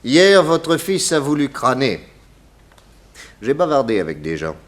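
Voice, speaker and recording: An actor playing a shrewd, authoritative detective in a 1960s French radio drama, theatrical, deliberate and accusatory. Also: male voice, mid-range voice, sing-song. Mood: accusing, emphatic.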